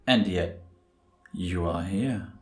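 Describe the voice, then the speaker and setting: A man playing a mysterious, serene priest with a measured, solemn, cryptic delivery in a cinematic audio drama. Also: low voice, soft background music. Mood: calm, cryptic. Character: mysterious, serene male priest of a wandering sanctuary. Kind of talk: cinematic audio roleplay acting. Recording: echoing sanctuary ambience with noise.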